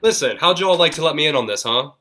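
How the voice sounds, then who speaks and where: A woman playing a gruff, trigger-happy, impatient gangster in a radio play, blunt and terse. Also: low-pitched, expressive, fast talker, a bit bright. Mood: suspicious. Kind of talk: vintage radio drama acting.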